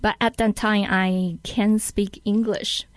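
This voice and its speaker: Slow-paced Taiwanese-accented woman, interview guest. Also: medium pitch, flat delivery, dry recording. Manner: halting non-native English. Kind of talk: casual interview chat.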